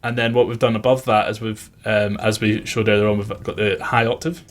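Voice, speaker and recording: A man with a fairly low voice talks through a music production tutorial. He explains things informally and conversationally, like a producer showing how the work was done. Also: little pitch movement, fairly quick.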